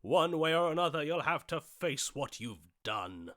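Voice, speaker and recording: An actor voicing a grandiose, lovesick romantic hero in a video game, with florid, theatrical, archaic declamation. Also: male voice, on the high side, very animated, measured pace, fairly bright tone, no room sound, clean studio sound. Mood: indignant, bitter.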